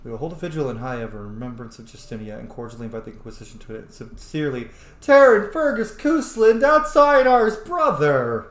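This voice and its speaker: A man's voice: medium pitch, sing-song, fairly quick. A man commenting casually on a roleplaying game, chatty and opinionated, reading text aloud and reacting to it. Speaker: video game streamer and roleplayer. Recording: roomy sound with faint background noise.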